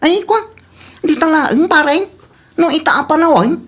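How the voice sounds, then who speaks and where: A man's voice: very high voice, unhurried, a bit bright. A man playing a boastful, goofy, giggly buddy in a comedy radio drama, exaggerated, with a Filipino accent.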